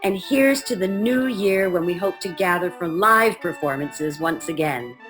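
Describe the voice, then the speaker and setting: A woman narrating a scripted radio drama with measured storytelling emphasis. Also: lowish voice, fairly bright tone, faint music behind.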